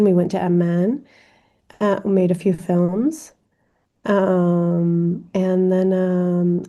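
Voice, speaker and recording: A woman in her forties, a film director guest, speaking reflectively with measured pauses in an interview. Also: fairly low pitch, dark tone. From France. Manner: measured, reflective, frequent ums. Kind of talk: interview conversation.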